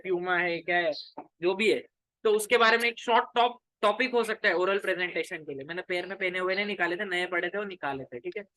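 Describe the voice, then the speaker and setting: A man's voice: sits high, bright, forceful tone, rough recording. A man with an Indian accent teaching as a commerce tutor, chatty and colloquial, speaking straight to students in an informal lecture that mixes Hindi and English.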